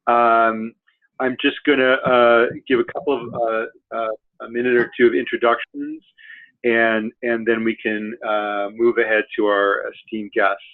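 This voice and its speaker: A man hosting a webinar, introducing the speakers in a halting way with many fillers. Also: mid-range voice, little pitch movement. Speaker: webinar host. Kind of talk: hesitant spoken introduction. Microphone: conference call. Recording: tinny phone-line audio.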